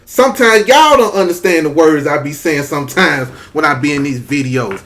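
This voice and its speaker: Man, Mississippi Southern drawl, slightly higher voice, emphatic, repeats himself, casual commentary. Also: moderate pace, fairly bright tone. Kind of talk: casual opinion commentary to camera.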